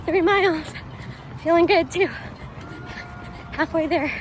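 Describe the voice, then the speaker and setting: A woman's voice: very high voice, takes it slowly. A young woman vlogger giving short, casual updates to camera while running a race outdoors. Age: young adult. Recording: noisy outdoor street with crowd.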